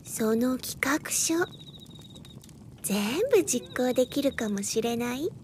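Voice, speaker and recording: Woman playing a slow, high-voiced worldly adviser. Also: a little hiss or hum. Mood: gentle, advising. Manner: deliberate, persuasive, feminine speech. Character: worldly, assured woman advising a younger one. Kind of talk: audio drama acting.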